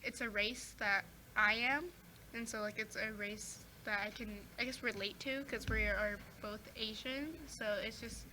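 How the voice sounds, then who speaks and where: Teen girl guest, high and bright, chatty, lots of fillers, faint noise in the background. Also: fairly even intonation, a little slow, rough recording. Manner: chatty, many fillers and restarts. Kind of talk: casual chat.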